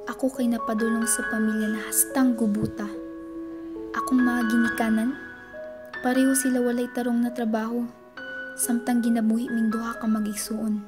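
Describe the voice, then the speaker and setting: A woman's voice: a little high, little pitch movement, moderate pace, music in the background, close to an everyday voice. A teenage girl playing a struggling, determined student, narrating her life story plainly in an amateur radio play. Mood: sombre, determined. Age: teens.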